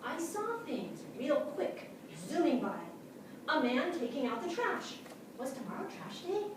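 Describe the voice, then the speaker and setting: Woman playing an excitable dog storyteller, high-voiced, echoey. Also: unhurried. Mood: eager, confiding. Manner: expressive, comic, punchy short phrases. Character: excitable, boastful, easily distracted male dog narrator. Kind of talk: theatrical staged prose reading. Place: small theatre stage, live audience. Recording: echoey theatre from far off, noisy.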